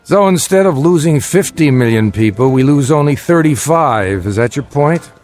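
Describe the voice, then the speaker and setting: An actor in a radio drama playing a weary middle-aged father, gentle but guarded and measured in his delivery. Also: a little high, lively intonation, faint music behind, lightly acted. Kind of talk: naturalistic radio drama acting.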